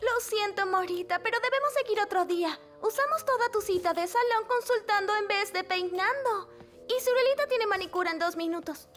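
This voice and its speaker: A helpful little cartoon girl with a very high-pitched voice. She sounds courteous and obliging, with music playing clearly behind her. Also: a little fast, fairly bright tone. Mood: polite, patient. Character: polite, helpful young girl in a children's cartoon. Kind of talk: animated cartoon dubbing. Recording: background music under the voice.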